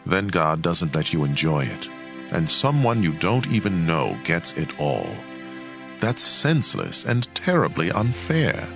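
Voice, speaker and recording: Very deep-voiced man narrating scripture expressively. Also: big pitch swings, unhurried, over music.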